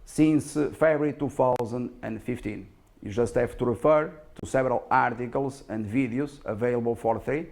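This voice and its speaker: A man who is not a native English speaker giving self-promotional stock market commentary, speaking in a halting but emphatic, self-assured way. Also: medium pitch, creaky, a little mellow. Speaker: stock market analyst and presenter.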